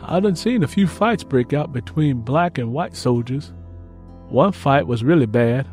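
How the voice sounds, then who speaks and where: A man's voice: medium pitch, lively intonation, measured pace, darkish tone, faint music behind, natural voice. A man playing an earnest young Mississippi field hand turned soldier, reading out letters home with plain rural phrasing in an audiobook. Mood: earnest, reflective. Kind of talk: first-person letter read in audiobook.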